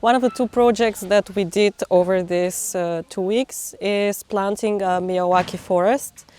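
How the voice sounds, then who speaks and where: A woman from Bulgaria, a volunteer describing a tree planting in a measured, informative way. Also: mid-range voice, steady tone, a little mellow, clean tone. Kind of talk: documentary interview. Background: guitar music.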